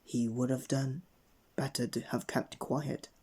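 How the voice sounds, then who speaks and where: A man narrating a children's bedtime story in an expressive read-aloud manner. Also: medium pitch, unhurried, slightly rough. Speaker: storybook reader.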